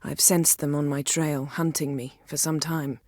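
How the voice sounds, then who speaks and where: A woman voicing a haughty, sharp-witted sorceress in a video game, with an imperious, sardonic manner and clipped, articulate delivery. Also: low-pitched, level tone, a little slow, no room sound. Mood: composed, serious. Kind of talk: video game character voice acting.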